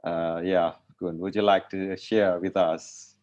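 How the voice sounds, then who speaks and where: Lowish-voiced Indonesian man hosting casually. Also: flat delivery, takes it slowly, darkish tone, muffled recording. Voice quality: hesitant, repeats phrases. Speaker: host. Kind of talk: casual moderating of an online talk.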